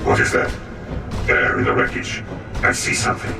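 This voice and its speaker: An actor playing a resolute, devout space marine squad leader in a video game, solemn and commanding, in a heavily echoing space. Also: male voice, normal speed, close to an everyday voice. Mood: intrigued, solemn. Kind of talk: video game cinematic acting. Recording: very echoey, distant, music behind.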